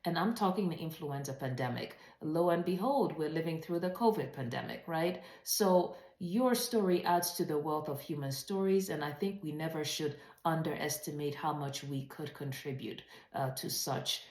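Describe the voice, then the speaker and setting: Low-voiced woman teaching in echoey room. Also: animated, normal speed, hardly any pauses, darkish tone. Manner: earnest, emphatic, rhetorical questions to listeners. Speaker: webinar presenter and writing coach. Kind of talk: webinar talk, conversational teaching.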